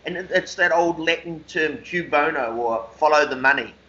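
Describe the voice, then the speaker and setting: A man co-hosting a conversational radio discussion, talking discursively with measured emphasis. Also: a little high, sharp tone. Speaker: radio show co-host, interviewer. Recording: slightly dull lo-fi call audio.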